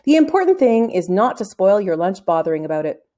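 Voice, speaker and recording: A woman voicing a brisk, unbothered female schoolteacher in a children's storybook, dry and matter-of-fact. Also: mid-range voice, big pitch swings, dark tone, lightly acted. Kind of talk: children's storybook read-aloud.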